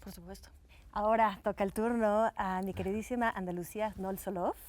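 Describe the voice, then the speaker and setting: A woman's voice: mid-range voice, fairly even intonation, brisk, darkish tone. Upbeat woman hosting a talk panel. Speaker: host.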